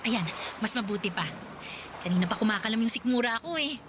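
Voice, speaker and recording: A woman's voice: on the high side, a little fast, background noise, natural voice. An actress playing a brash, chatty young college girlfriend who complains and dreams of riches in a Tagalog radio drama. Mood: chatty, needy.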